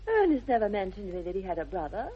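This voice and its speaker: A woman's voice: medium pitch, big pitch swings, quick pace, slightly rough. An actress playing a haughty, self-assured young aristocratic lady with an upper-class English accent and affected, precise politeness, in a 1960s radio comedy of manners. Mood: suspicious, gracious. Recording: dull vintage 1960s radio recording.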